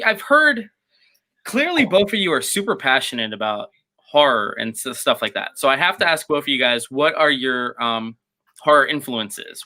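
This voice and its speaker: A man's voice: mid-range voice, sharp tone. A man hosting a casual interview, putting questions to his guests in a conversational way. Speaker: interview host.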